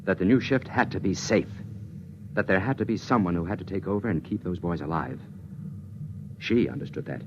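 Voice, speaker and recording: An actor playing a grave, commanding space captain in a 1950s radio drama. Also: male voice, low-pitched, brisk. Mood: solemn, confessing. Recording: noisy, dull 1950s radio recording.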